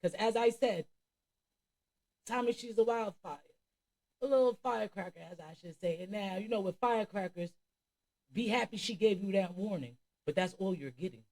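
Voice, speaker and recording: A woman's voice: medium pitch, moderate pace, darkish tone, rough recording. A woman hosting a reaction channel, talking casually and emphatically to viewers in a streetwise, conversational way. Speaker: commentary channel host.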